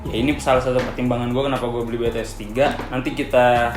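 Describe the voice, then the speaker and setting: Casting: young male vlog host, Jakarta accent, colloquial and excitable delivery, audibly echoey room, light music behind. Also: mid-range voice, fast talker. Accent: Jakarta Indonesian. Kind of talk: casual unboxing chat.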